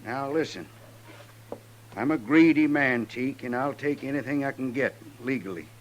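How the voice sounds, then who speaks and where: An actor playing a hard, greedy land owner with a gruff soft side, speaking bluntly and deliberately in a radio western. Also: male voice, medium pitch, lightly acted. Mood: blunt, firm. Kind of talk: radio western drama acting. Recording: faint hum, old radio broadcast.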